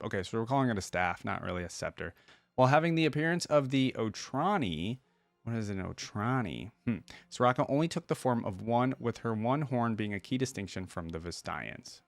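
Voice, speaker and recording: A man hosting a reaction video, reading text aloud and thinking out loud in casual asides between passages. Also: on the low side, expressive, fairly quick, vocal fry, dry recording.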